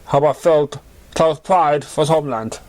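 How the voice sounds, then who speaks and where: A man's voice: a little high, moderate pace, darkish tone. A man reading a story aloud as an amateur narrator.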